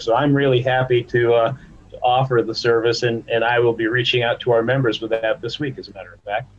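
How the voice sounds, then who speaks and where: A man's voice: medium pitch, highs cut off. A man speaking conversationally as a guest executive in a podcast interview. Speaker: podcast guest, trade association chief executive.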